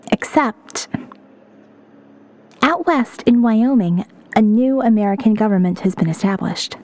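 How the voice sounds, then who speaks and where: A woman's voice: a little high, dark tone, no room sound, close to an everyday voice. A woman playing a weary, sardonic survivor reading out her diary in an audio novel, in a wry, cynical, reflective way. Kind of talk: first-person audio novel narration.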